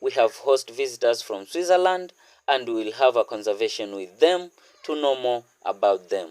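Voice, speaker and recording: A man's voice: mid-range voice, expressive, normal speed, darkish tone, clear, resonant tone, dry recording. A man, hosting a radio interview and putting simple questions to his guests. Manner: welcoming, rhyming opener, simple questions. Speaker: radio host.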